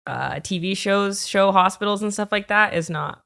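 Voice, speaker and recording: A woman co-hosting a podcast in casual chat, chatty and laughing often, with quick asides. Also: medium pitch, gravelly, fairly bright tone. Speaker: podcast co-host.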